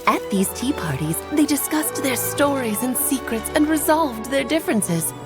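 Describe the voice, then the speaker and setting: An animated, theatrical witch from a video game. She is coy and motherly, telling things like a grand old storyteller. Her pitch moves freely, and soft music sits behind her. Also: moderate pace. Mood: storytelling. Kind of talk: video game character acting.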